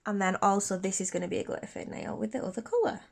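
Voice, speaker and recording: A woman's voice: medium pitch, fast, creaky. A young woman with a slight sniffly cold, chatting casually through a nail tutorial from her bedroom. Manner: chatty, bubbly, restarts mid-sentence. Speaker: beauty YouTuber. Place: bedroom filming set, indoors.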